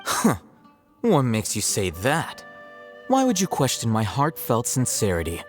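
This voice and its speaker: A man's voice: mid-range voice, wildly swooping pitch, soft background music. An actor playing an aloof, smug young male scholar in a video game, with a dry, needling manner. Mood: sardonic. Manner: dry, sardonic, unhurried needling.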